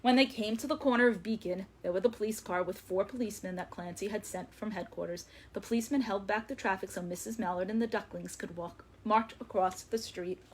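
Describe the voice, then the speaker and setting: A woman reading a story aloud to young children as a librarian, expressive and emphatic, lingering on words. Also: mid-range voice, fairly quick, few pauses, slight background noise.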